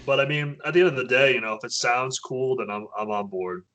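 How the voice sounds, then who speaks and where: A man, a metal band's vocalist, chatting casually as an interview guest, often halting and restarting his sentences. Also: fairly bright tone. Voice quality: naturally low voice. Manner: hesitant, many restarts and fillers.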